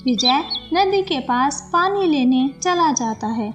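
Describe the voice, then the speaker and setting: A woman's voice: high-pitched, lively intonation, takes it slowly, music in the background. A woman narrating a scripted moral story for children.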